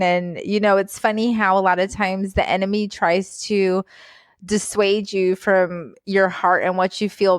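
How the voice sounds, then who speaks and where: A woman from Guyana, a physician guest, telling her story in a casual interview, animated and laughing often. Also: mid-range voice, dry recording. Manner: animated, laughs often, repeats words for emphasis.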